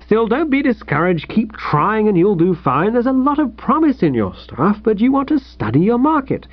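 A man voicing a jovial, gushing, patronising young English gentleman editor for an audiobook. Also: fairly high pitch, expressive, normal speed, no echo, lo-fi sound, lightly acted. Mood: kindly patronising. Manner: gushing, breezy, chummy. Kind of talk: audiobook character voice.

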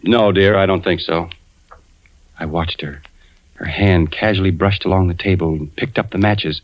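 Casting: male actor as anguished American investigator husband, low voice, tense suspense narration, dull vintage radio sound. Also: fairly even intonation, moderate pace, lightly acted. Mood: guarded. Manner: tense, dramatic delivery. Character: suspicious, anguished husband, an insurance investigator narrating his story. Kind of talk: 1940s radio drama acting, first-person suspense narration. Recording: dull 1940s radio recording with music.

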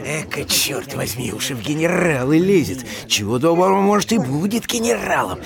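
High-voiced, grudging petty official. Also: male voice, sing-song, moderate pace, vocal fry. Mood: envious grumbling. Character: grudging, obsequious male small-town official. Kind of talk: theatrical audio play acting. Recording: loud crowd behind the voice.

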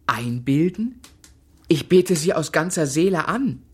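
An actor playing an earnest, ardent young gentleman in a German period radio drama. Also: fairly high pitch, expressive, measured pace, slightly rough, dry recording. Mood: lovestruck. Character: earnest, lovestruck young gentleman of Victorian England. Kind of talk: German radio play acting and narration.

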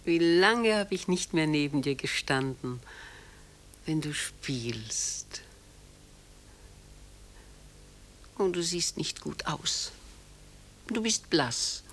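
A woman's voice: low-pitched, expressive, slow pace, full character voice. An actress playing an elderly, possessive mother in a German radio play, speaking in a tender, plaintive, probing manner. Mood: tender, fussing. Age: older. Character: elderly, still beautiful, possessive, anxiously doting mother.

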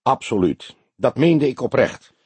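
A man voicing a weary old police detective in an audiobook, earnest and kindly, speaking in a measured, emphatic way. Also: medium pitch, animated, slightly rough, dry recording.

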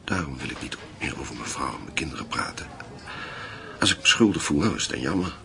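Passionate middle-aged husband, rock-bottom pitch, vocal fry, halting earnest delivery, radio play. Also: male voice, expressive, pushed and bright, exaggerated voice. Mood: intimate, resolute. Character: married German man, middle-aged, passionate, frustrated lover. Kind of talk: naturalistic radio drama acting.

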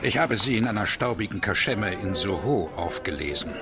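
An actor playing a boastful, lecherous, wealthy impresario with a smug manner in a radio drama. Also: male voice, on the low side, animated, normal speed, music in the background. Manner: smug, self-satisfied. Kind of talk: theatrical radio drama acting.

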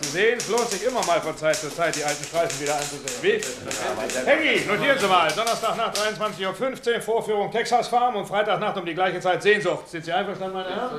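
Pompous film studio boss. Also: male voice, high voice, animated, fast talker, crowd noise. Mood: brisk, businesslike. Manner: pompous, patronizing, self-satisfied. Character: self-important, jovial middle-aged male film studio boss. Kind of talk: radio drama acting.

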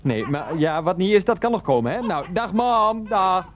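An actor playing a fussy, placating husband and son in a radio play, hesitant and repeating himself. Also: male voice, high voice, lively intonation, moderate pace.

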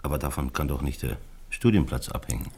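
A middle-aged man with a low voice, lost in anxious thought. He speaks haltingly and circles back over his own words, in naturalistic radio play style. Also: lively intonation, mellow, breathy edge, full character voice. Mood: probing, uneasy. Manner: musing, halting, repeating himself. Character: brooding, distracted middle-aged male screenwriter, anxious and fussy. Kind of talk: naturalistic radio drama acting.

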